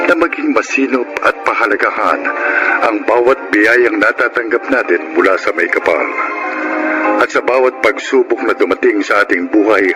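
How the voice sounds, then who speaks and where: A man's voice: medium pitch, low-quality recording. A man with a Filipino accent narrating and announcing a radio drama in a theatrical, scripted style.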